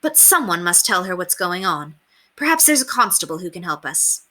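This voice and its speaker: A woman's voice: on the low side, big pitch swings, normal speed, pushed and bright, heavily acted. A woman voicing a prim, earnest young governess who speaks formally and properly in a storybook reading. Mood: determined.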